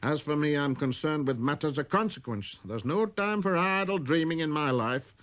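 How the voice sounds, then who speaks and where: A man's voice: fairly high pitch, normal speed, a bit bright, pitched above the performer's own voice, lightly acted. A man voicing a busy, self-important middle-aged businessman in a storybook, irritable and muttering, hesitating over his figures.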